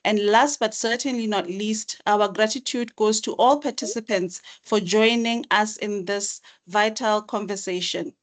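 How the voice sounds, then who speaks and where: A woman's voice: mid-range voice, a little slow, hardly any pauses. A woman delivering formal, rehearsed welcome remarks at an online webinar. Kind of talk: formal read-out speech.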